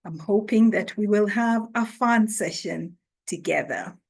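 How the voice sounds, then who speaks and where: Woman running an online orientation, slow and deliberate, video call mic. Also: medium pitch, gravelly, husky. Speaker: orientation host.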